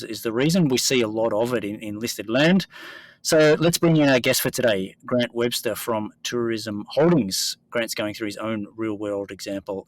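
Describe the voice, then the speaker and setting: Man, podcast host, lowish voice, measured explanatory chat. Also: low-quality recording. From Australia. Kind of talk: conversational podcast interview.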